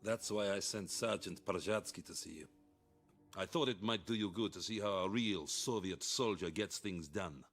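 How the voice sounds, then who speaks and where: A calculating Soviet officer in a war game, his voice fairly low. He questions with measured, cold sarcasm while music plays behind him. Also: fairly bright tone. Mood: defensive. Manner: measured, sardonic. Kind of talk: dramatic game cutscene acting.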